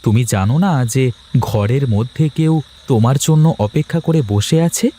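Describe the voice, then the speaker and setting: A man's voice: medium pitch, moderate pace, dark tone, a little hiss or hum, natural voice. A man voicing a bossy, grumbling but doting village husband in a cartoon. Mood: angry. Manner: bossy, emphatic. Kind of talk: cartoon voice acting.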